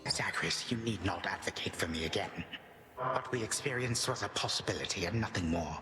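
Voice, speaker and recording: A man's voice: low-pitched, lively intonation, fairly quick. An actor playing a defeated, proud old titan grandfather in a video game, speaking in solemn, archaic, formal phrases. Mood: weary, bitter. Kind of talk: dramatic video game voice acting. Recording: echoey hall reverb, noisy background.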